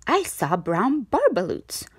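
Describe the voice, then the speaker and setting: A woman reading a children's story aloud as a storyteller, with dramatic, deliberate phrasing. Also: fairly high pitch, huge pitch swings, breathy edge, no echo, clean studio sound. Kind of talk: expressive children's read-aloud.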